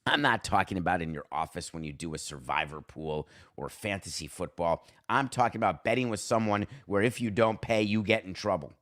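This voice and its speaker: A man's voice: sits low, fairly quick, few pauses, fairly bright tone. A man hosting a solo sports talk show, giving opinionated commentary in an emphatic, sardonic way with rhetorical questions. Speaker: sports talk podcast host.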